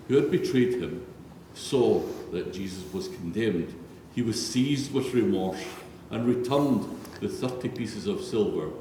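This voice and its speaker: A man with a low, mellow voice reads scripture solemnly as a narrator. He is heard from far off in a big church, with long, heavy reverb around every word. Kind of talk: solemn scripted Bible reading. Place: large reverberant church, read aloud. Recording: echoey church hall, distant, faint noise.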